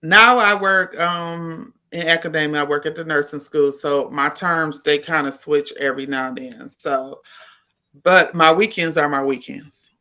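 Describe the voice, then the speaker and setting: Bright-voiced woman in her fifties. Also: low voice, fairly even intonation, unhurried. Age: 50s. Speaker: nurse educator guest. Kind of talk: casual interview conversation.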